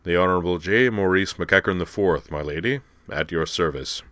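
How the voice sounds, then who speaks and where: Casting: huge rumbling gentleman read by a man, very low, formal and grand, storybook character. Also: normal speed, no room sound. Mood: courtly. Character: gigantic, courtly, rumbling bass gentleman.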